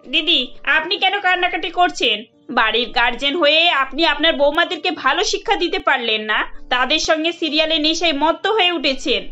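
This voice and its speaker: A woman's voice: high voice, lively intonation, brisk, bright, forceful tone, muffled recording, faint music behind. A woman playing a blunt, disapproving cartoon relative. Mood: reproachful. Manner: blunt, reproachful.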